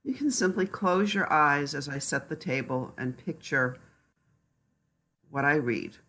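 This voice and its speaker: A middle-aged woman reading gently and deliberately about mindful eating, in a calm meditative manner. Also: sits low.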